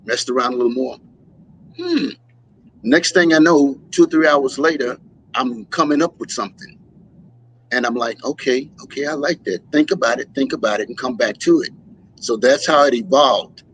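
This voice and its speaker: A man, a musician guest in a casual interview, telling stories and acting out quotes with lively voices. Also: mid-range voice, moderate pace, slight background noise, poor audio. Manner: animated storyteller, acts out quotes.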